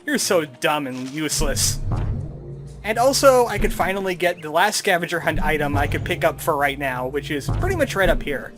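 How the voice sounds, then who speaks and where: A man's voice: fairly high pitch, expressive, normal speed. A man giving casual, snarky let's play commentary as he plays a video game. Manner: snarky, wry. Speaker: gamer, let's play host. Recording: commentary over game music.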